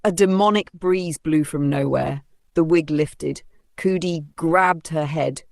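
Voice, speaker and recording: A woman's voice: deep voice, slow, creaky, no echo, studio quality. A woman telling a comic folktale as dramatic scripted narration. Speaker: storyteller.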